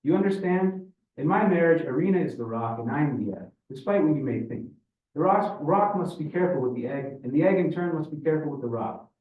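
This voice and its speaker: A man's voice: fairly high pitch, expressive, brisk, pitched above the performer's own voice, natural voice. A man voicing a teasing older Russian father who speaks in folksy maxims, in a story reading. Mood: solemn, philosophical. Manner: pronouncing, folksy maxims in non-native phrasing. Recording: echoey room, distant muffled mic.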